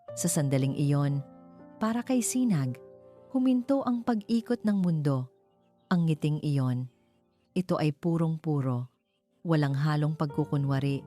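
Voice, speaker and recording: Female Filipino storyteller, low-pitched, scripted romantic-drama narration, music bed underneath. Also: dark tone. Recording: music behind the voice. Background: clear background music.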